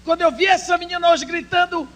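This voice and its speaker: A woman whose first language is English, preaching with passion at a women's church conference, swinging between loud proclaiming and hushed storytelling. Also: extremely high pitch, level tone, fast. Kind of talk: sermon.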